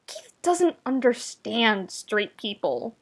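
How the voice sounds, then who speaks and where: A woman's voice: on the high side, big pitch swings, slow pace. A woman reading fiction aloud as a narrator, with a wry, conversational and emphatic delivery. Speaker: podfic reader, narrator. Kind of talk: scripted narration of fan fiction, expressive audiobook reading.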